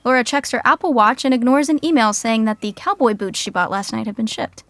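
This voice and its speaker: A woman's voice: fairly high pitch, animated, fairly quick, a bit bright, no echo. A woman playing the wry narrator of a scripted sitcom.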